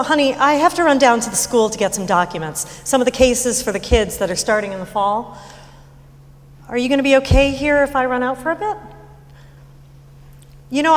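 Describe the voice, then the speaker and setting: A woman playing a gentle, anxious mother coaxing her grieving daughter in a staged reading, with halting, tentative speech. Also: sits high, lively intonation. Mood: warm, wistful. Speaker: actor in staged reading of musical. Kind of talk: live stage reading acting. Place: high school stage. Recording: echoey hall, long reverb.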